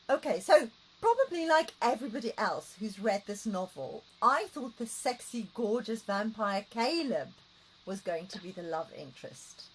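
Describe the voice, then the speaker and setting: A woman's voice: medium pitch, very animated, a little slow, slight background noise, low-quality recording. A woman hosting an interview in English, which is not her first language. She is enthusiastic, laughs easily and often adds listening sounds. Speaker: interviewer, host. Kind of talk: casual interview.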